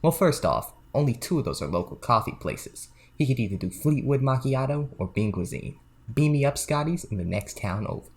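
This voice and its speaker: A man's voice: medium pitch, sing-song, fairly quick, a little mellow. A man playing a sly, touchy back-room card dealer in a comedic audio play, with a swaggering, theatrical and demanding manner. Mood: indignant.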